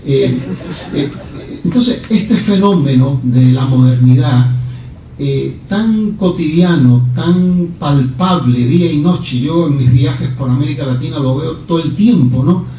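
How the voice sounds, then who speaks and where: A Cuban-born man, a guest poet answering questions in a lively, wandering way, often checking for agreement with the listener. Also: fairly high pitch, unhurried. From Cuba. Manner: animated, digressive, asks for agreement with "¿no?". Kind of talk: discursive talk and Q&A answers. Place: lecture room. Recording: roomy hall, speaker far from the mic, faint hiss.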